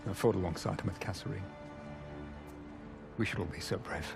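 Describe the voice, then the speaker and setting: An actor playing a composed British special forces officer in a war game, with a British accent and wry, formal understatement. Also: male voice, deep voice, fairly even intonation, a little fast, gravelly, over music. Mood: grave, briefing. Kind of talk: cinematic war game acting.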